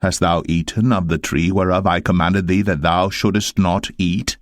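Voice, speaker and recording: Almighty God, played by a man: low, solemn, measured, stern authority for scripture audio drama; very dry. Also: steady tone, no echo, close to an everyday voice. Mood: stern, judging. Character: almighty God, stern commanding male judge.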